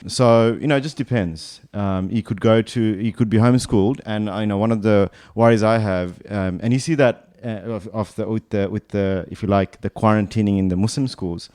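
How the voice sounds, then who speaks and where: A man's voice: lowish voice, a little fast, few pauses, darkish tone, dry recording, studio quality. A man in his thirties from Bangladesh, a podcast guest talking casually in a thoughtful, meandering way that often circles back and corrects itself. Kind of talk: casual conversation.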